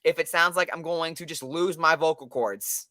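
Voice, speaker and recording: A young man co-hosting a casual sports podcast, hoarse from losing his voice, talking in a hyped, energetic way. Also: fairly high pitch, normal speed, no echo, studio quality. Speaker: podcast co-host.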